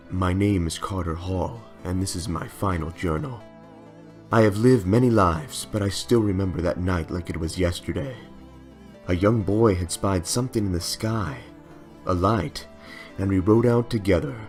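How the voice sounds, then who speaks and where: A man voicing a solemn, wistful male adventurer narrating his final journal. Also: low voice.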